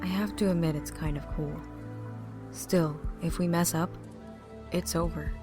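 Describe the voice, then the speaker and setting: A woman's voice: on the low side, steady tone, a little slow, over music, close to an everyday voice. A woman voicing a cautious, teasing teenage boy in a fan audio drama, wry and doubtful. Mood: wry, hesitant.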